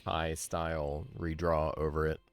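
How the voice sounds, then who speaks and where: A man's voice: very deep voice, level tone, measured pace. A man in his thirties giving casual game commentary as a streamer, with wry, grumbling asides. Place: small indoor room, livestream setup. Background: game music.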